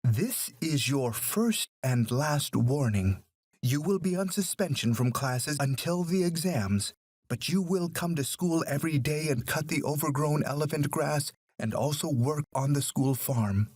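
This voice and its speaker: A man voicing a stern, authoritative woman school principal in a storybook, with formal, deliberate delivery. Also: fairly high pitch, lively intonation, darkish tone, breathy edge, dry recording. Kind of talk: audiobook story character.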